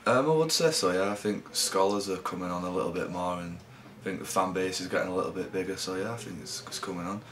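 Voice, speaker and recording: A young man from England, a rugby league player giving low-key, halting interview answers. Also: noisy background.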